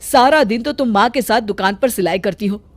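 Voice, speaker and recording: A woman's voice: fairly high pitch, fairly quick, no room sound, natural voice. A woman voicing a young adult son who works in an office, complaining and pleading in a cartoon story. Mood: annoyed.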